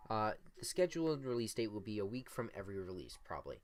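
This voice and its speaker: A young man with a deep, monotone voice co-hosting a casual improvised podcast and rambling through his points on a desktop USB mic. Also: fast. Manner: rambling, filler-heavy. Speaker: podcast co-host.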